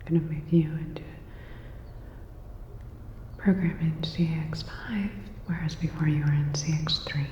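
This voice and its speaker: A woman playing a calm, attentive part-cyborg robot repair technician in an ASMR roleplay, whispering breathily in a soft, reassuring, clinical way. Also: deep voice, unhurried, long pauses, a little hiss or hum. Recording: dull, echoey, long reverb.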